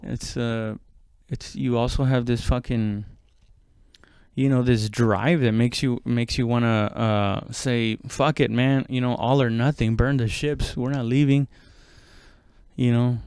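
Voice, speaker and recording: Guy just rambling on his podcast, smooth clear voice, swears a lot, stop and start, really dry sound. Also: mid-range voice, fairly even intonation, darkish tone, no room sound. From immigrant, moved abroad at seven. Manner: profane, conversational, halting. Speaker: stand-up comedian podcast host. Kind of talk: casual rambling monologue.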